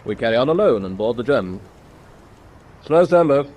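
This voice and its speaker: An actor with a British accent playing a bold, decisive destroyer captain in a war radio drama, giving clipped orders. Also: male voice, mid-range voice, sing-song, normal speed, a little mellow, lightly acted. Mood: decisive, commanding. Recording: faint background noise.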